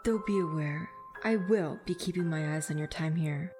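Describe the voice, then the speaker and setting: A woman's voice: sits low, normal speed, music in the background, lightly acted. A woman playing a cold, ominous shadow mage with a formal, stern, menacing way of talking in a fantasy audio drama. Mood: cool disdain.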